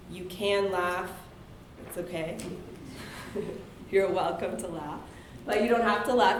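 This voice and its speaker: A woman's voice: fairly low pitch, roomy sound, a little hiss or hum, rough recording. A woman cartoonist speaking to an audience at a reading, losing her voice and talking in a chatty, self-deprecating way with filler words. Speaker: cartoonist and author giving a talk. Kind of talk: casual public talk to an audience. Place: university event hall.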